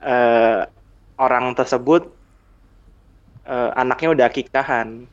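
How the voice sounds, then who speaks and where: A man's voice: medium pitch, takes it slowly, smooth, lo-fi sound. A man with a Jakarta Indonesian accent chatting casually in a slangy, bantering way on a podcast.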